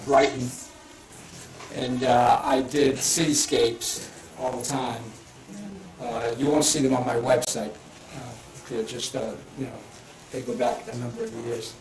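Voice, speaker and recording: An older man with a thick Boston accent, chatting loosely through a painting demonstration, full of fillers and restarts. His voice sounds somewhat distant from the mic. The room rings with echo. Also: mid-range voice. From Italy. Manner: rambling, with frequent fillers and restarts. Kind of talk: casual live demonstration talk. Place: art association meeting hall.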